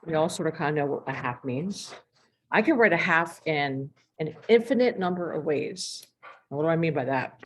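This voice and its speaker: A woman teaching a math lesson while losing her voice, clearing her throat and walking through examples step by step. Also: deep voice, lively intonation, normal speed.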